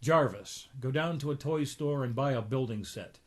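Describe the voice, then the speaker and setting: A man's voice: medium pitch, moderate pace, lightly acted. A man voicing a curt, bossy, rich old uncle duck as he reads a comic book aloud. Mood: decisive.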